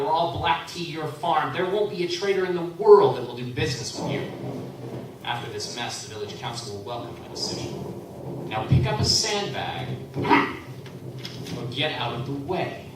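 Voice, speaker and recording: An actor playing a decisive tinker leading the townsfolk in a live radio play, brisk and commanding. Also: male voice, a little high, lively intonation, close to an everyday voice. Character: decisive tinker, steady leader. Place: convention hall. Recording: very echoey hall, from far off, noisy.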